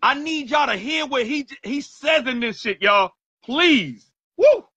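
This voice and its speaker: A man's voice: extremely high pitch, lively intonation, a little slow, bright, forceful tone, no echo. A man from New York hosting an album review, talking in a hyped, exclamatory way. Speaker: host.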